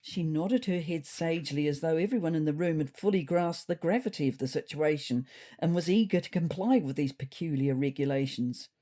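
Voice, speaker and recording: A woman's voice: deep voice, a little fast, dark tone. Wry New Zealand woman storyteller. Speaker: storyteller, podcast host. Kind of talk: scripted first-person comic narration.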